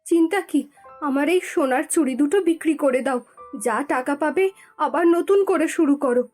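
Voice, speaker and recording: A woman voicing a supportive, practical village wife encouraging her husband, in an animated moral tale. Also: high voice, moderate pace, faint music behind, lightly acted.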